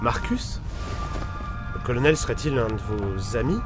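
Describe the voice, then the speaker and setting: An actor playing a prickly, indignant male scientist in an audio drama, with articulate speech and loud music behind him. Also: medium pitch, expressive, unhurried, natural voice. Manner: indignant, articulate, formal when composed. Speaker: scientist character. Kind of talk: French audio fiction acting.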